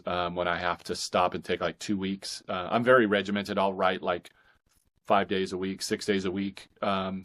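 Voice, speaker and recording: A man speaking as a guest author in a casual interview, earnest and reflective, often halting and repeating words. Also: on the low side, fairly bright tone. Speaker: guest, indie fiction author.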